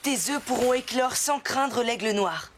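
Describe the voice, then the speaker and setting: Earnest, high-voiced young boy hero. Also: animated, measured pace, a little hiss or hum. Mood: reassuring, determined. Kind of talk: French animated series dubbing.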